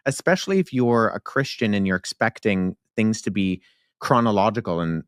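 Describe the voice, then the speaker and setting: A man as a podcast guest, explaining things in a measured way. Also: on the low side, clean tone, no room sound, clean studio sound. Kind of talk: podcast interview.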